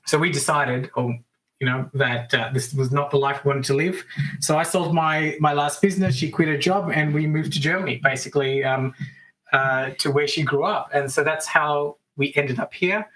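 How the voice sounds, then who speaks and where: Bright-voiced Australian man telling stories. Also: fairly high pitch, low-quality recording. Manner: chatty and upbeat, quick asides. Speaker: guest, business coach. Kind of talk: casual interview storytelling. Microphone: remote call.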